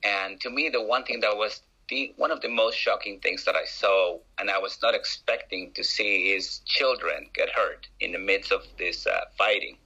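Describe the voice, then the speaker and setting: A man in his forties from Peru with a Peruvian accent, a guest telling his life story in an interview, with frequent pauses and restarts. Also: lowish voice, bright, forceful tone, husky, dull audio. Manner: hesitant, many restarts and uh fillers.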